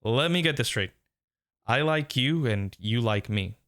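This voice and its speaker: A man's voice: medium pitch, lively intonation, a little slow, fairly bright tone, dry recording. Man acting a smitten, teasing rival, close and dry. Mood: earnest, incredulous. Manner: teasing, breathy, tender, murmuring. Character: smitten young man, teasing workplace rival turned lover. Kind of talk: intimate romantic audio roleplay.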